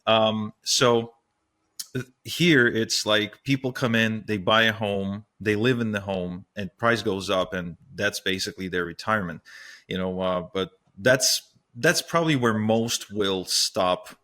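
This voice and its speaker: A man's voice: lowish voice, unhurried, pushed and bright. A man in his thirties from Ukraine, raised in Israel, hosting a casual interview in a chatty way. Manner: chatty, many fillers and restarts. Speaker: podcast host.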